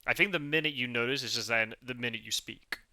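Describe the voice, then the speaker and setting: A soft-spoken British man chatting casually, circling back and restarting his thoughts. His voice is very bright and crisp. The sound is dry and close. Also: mid-range voice, fast talker, dry recording. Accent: British English with Scottish upbringing. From England. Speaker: podcast host. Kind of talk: casual conversation.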